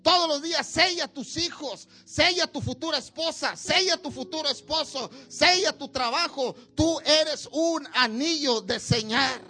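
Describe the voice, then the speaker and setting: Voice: very high-pitched, pushed and bright. A preacher delivering a sermon at a church service, with emphatic, repetitive exhortation.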